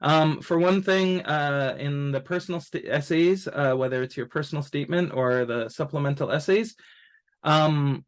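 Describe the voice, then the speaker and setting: Man giving a halting informal webinar talk. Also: on the high side, clean tone. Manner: hesitant, explanatory, halting delivery with many filled pauses. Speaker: college admissions advisor and presenter. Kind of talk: informal webinar presentation on college admissions.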